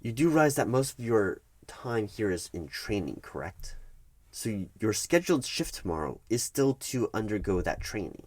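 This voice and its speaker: A man performing a one-sided ASMR roleplay as a firm, bureaucratic HR manager, explaining things in a measured, officious way with halting emphasis. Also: fairly low pitch, very animated, darkish tone, no room sound.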